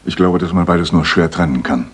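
Deep, very raspy remorseful man. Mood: anguished. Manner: halting, earnest. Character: remorseful lovelorn middle-aged father confessing his guilt. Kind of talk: radio play acting.

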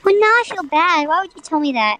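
A woman's voice: very high-pitched, big pitch swings, a little fast, fairly bright tone, slight background noise. A woman streaming a video game with chatty, playful, mock-dramatic commentary. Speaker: gaming streamer. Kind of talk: casual livestream gameplay commentary.

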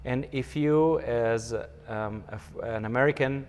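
Slovak-accented man, halting, in a hall. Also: medium pitch, a little hiss or hum. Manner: thoughtful, many hesitations and fillers. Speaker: conductor, interview guest. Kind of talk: conversational interview. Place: empty hall with a stage.

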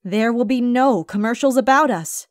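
A woman playing a stern, no-nonsense spy agency boss in an animated web series, firm and exasperated. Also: a little high, normal speed, no echo, studio quality, close to an everyday voice. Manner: firm, exasperated, clipped orders.

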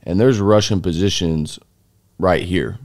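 A man's voice: deep voice, measured pace, darkish tone, dry recording, studio quality. A man, a guest on a podcast telling a long story in a loose, rambling way with many fillers and restarts. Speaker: interview guest, former foreign volunteer fighter. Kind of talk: casual interview storytelling. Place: small dark podcast studio. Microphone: studio mic on boom arm.

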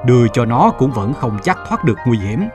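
A man voicing a grave, protective middle-aged merchant father in an animated fairy tale. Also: medium pitch, a little fast, over music, lightly acted. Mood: grave, worried.

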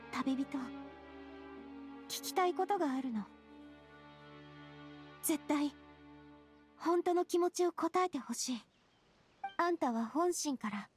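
A woman voicing a sincere, vulnerable young woman boss in a Japanese anime game, with music behind her. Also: high-pitched. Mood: wistful, earnest.

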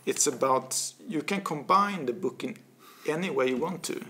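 A man's voice: a little high, moderate pace, noisy background. A man in his forties with a Swedish accent, a guest talking animatedly and restarting words as he thinks, recorded on a desk mic in a small office studio. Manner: animated, gestures a lot, repeats words while searching. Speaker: guest, author. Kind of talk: casual interview talk.

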